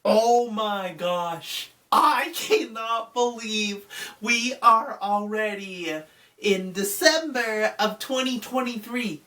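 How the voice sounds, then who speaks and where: Young woman vlogger, stuffy and congested, unhurried rambling chat, a bit off-mic. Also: fairly high pitch, pushed and bright, distant mic. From Utah. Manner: chatty, rambling, lots of "like" and "I mean". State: congested from a cold. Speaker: gaming channel host. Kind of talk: casual game recommendations.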